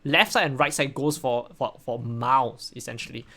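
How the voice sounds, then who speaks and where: A man's voice: mid-range voice, normal speed, sharp tone. A young man from Singapore with a Singaporean English accent, casually analyzing a game replay on a stream, rambling and checking in with "right?" a lot. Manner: rambling, repeats words, says "right?" often. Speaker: streamer and coach.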